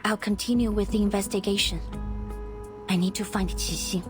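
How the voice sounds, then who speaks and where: A woman's voice: medium pitch, steady tone, moderate pace, music in the background, natural voice. A woman playing an earnest, dutiful young police officer, polite, sincere and resolute.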